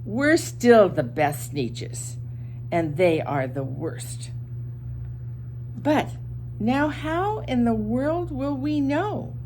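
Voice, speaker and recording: A woman's voice: a little high, sing-song, drawn-out words, darkish tone, slight background noise, pitched above the performer's own voice, heavily acted. A woman voicing boastful, squabbling creatures in a children's storybook, exclaiming emphatically. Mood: dismayed, snooty. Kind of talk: children's storybook read-aloud.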